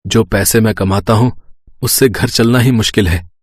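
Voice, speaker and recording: A man playing a poor, caring village working man in a cartoon story, earnest and plaintive, with a husky voice. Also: fairly low pitch, moderate pace, dry recording, natural voice. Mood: apologetic. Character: poor, self-pitying, caring young rickshaw man.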